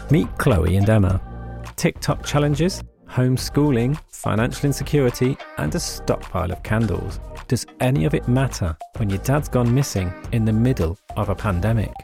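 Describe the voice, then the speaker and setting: Gravelly-voiced man introducing a podcast. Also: medium pitch, lively intonation, moderate pace, mellow, soft background music. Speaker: podcast host. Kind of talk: scripted introduction.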